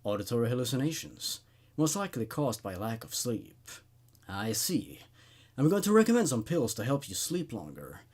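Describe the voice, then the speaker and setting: A man voicing a measured, curious male psychiatrist with a clinical manner in a horror story reading. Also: medium pitch, big pitch swings, a little mellow. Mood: calm, advising. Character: calm, probing male psychiatrist with secret obsession.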